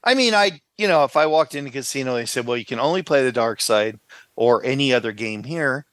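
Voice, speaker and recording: A man's voice: medium pitch, expressive, quick pace, a bit bright, no echo. A man co-hosting a podcast in casual chat, musing his way through answers a little hesitantly. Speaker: podcast co-host.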